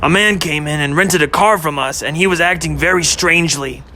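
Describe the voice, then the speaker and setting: A man acting out a troubled young man in an audio story, earnest and a little hesitant. His voice sits high and bright with a tense edge. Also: a little fast. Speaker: boyfriend in second-person story.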